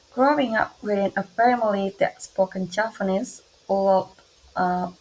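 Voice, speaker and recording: A young woman with an Indonesian accent, reading her class presentation aloud slowly and carefully, with small grammar slips. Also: mid-range voice, a little hiss or hum, poor audio. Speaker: student presenter.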